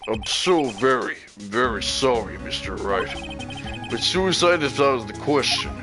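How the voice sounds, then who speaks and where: Man acting arrogant male prosecutor, measured, cold, lowered pitch, courtroom game, background music. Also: lively intonation, natural voice. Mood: smug. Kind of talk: video game voice-over reading.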